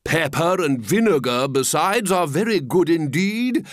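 A man voicing a pompous, greedy walrus from a storybook poem, talking in a slow, grandiose way with false sympathy. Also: on the high side, animated, no echo, studio quality. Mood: smugly genial. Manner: slow, drawn-out, grandiose delivery. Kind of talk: storybook verse character reading.

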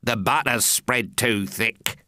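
A man voicing a gruff, unsentimental carpenter from a storybook poem, terse and dour. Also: medium pitch, slow pace, bright, forceful tone, voice pushed down. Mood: grumbling. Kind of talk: storybook verse character reading.

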